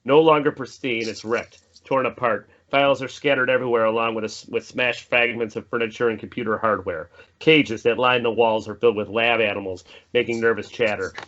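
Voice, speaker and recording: A man's voice: medium pitch, steady tone, a little fast, few pauses, a bit bright. A man reading out the stage directions of a horror screenplay as narrator at a table read. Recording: slightly dull mic, faint noise.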